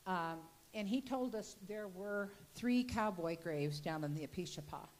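A woman's voice: medium pitch, lively intonation, unhurried, a little mellow. An older woman giving a folksy, chatty history talk with slides into a handheld mic in a gallery room. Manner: folksy, chatty storytelling with restarts. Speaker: local history speaker.

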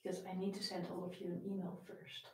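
A woman's voice: fairly low pitch, completely flat delivery, quick pace, mellow, heavy reverb, recorded from a distance, rough recording. A young woman with a Dutch accent, a violin teacher chatting and greeting viewers by name before a live-stream lesson from her home. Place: home room with framed pictures, indoors.

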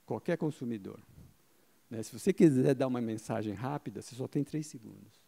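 A man's voice: medium pitch, fairly quick, gravelly, warm tone. A middle-aged man giving a conference talk into a handheld microphone, explaining points and answering his own questions. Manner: explanatory, asks himself questions and answers them, frequent "tá?" tags. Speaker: speaker giving a marketing talk. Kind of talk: conference talk to a live audience. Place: event hall with a seated audience.

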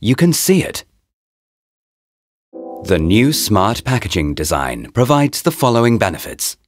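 A man reading a scripted promotional voice-over in short, deliberate, presentational phrases, as a product narrator. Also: lowish voice, very animated. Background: background music.

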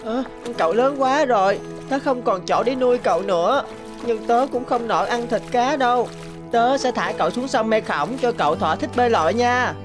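A woman's voice: a little high, moderate pace, music in the background, lightly acted. A woman voicing a kind, humble young orphan boy in a cartoon, polite and earnest. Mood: tender, cheerful.

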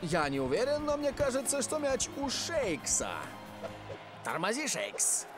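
A man voicing an excitable football commentator in a cartoon, with a dramatic, exclamatory delivery. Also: extremely high pitch, a little slow, over music, heavily acted. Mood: uncertain, tense.

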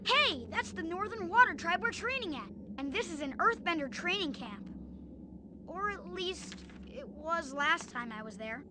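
A performer voicing an eager, earnest young boy monk hero in an animated cartoon game. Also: very high-pitched, unhurried, background noise. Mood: reassuring, thoughtful. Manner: youthful, earnest, exclamatory.

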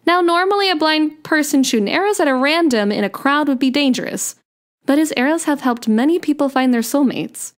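A woman playing a playful, sweet young daughter of Cupid in an ASMR romance roleplay, coy and gently teasing. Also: high-pitched, expressive, brisk. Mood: warm, playful.

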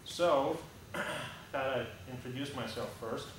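A Russian-accented man in his thirties speaks to a group in a plain, conversational way, blunt and full of "uh"s and restarts. He sounds far from the mic, with a heavy echo from the big room and a steady hum behind him. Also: medium pitch, slow. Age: 30s. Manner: conversational and blunt, with frequent "uh" and restarts, gesturing while standing. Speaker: airline pilot giving a talk. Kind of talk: informal slide presentation to a group. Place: large echoey hangar-like meeting room indoors. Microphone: camera at the back of the room.

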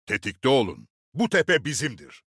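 An actor playing a gruff, middle-aged veteran commander in a video game, barking short, authoritative orders with dry swagger. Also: male voice, mid-range voice, very animated, moderate pace, a bit bright, no room sound, full character voice. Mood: commanding. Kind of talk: video game voice lines, short military barks.

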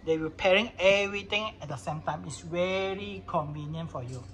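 A man's voice: high-pitched, normal speed, a bit bright, a little hiss or hum. A man narrating an informal hands-on product tutorial in casual, phrasing. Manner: casual non-native English phrasing. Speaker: product demonstrator.

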